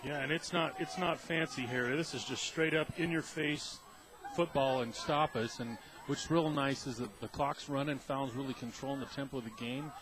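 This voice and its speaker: Mid-pitched American man analyzing football. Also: fairly even intonation, moderate pace. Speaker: color commentator. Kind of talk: casual football analysis on radio. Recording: noisy outdoor stadium radio broadcast.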